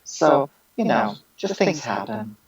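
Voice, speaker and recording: A performer voicing a self-styled female social justice activist who rambles, repeats words and jumps high in pitch while answering interview questions. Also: very animated, slow pace, dull audio. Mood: earnest explaining. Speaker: guest being interviewed.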